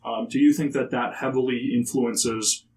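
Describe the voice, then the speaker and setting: A young man asking semi-formal interview questions in a halting, hesitant way. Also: medium pitch, fairly even intonation, darkish tone. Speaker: podcast interviewer. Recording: distant mic, noisy room ambience.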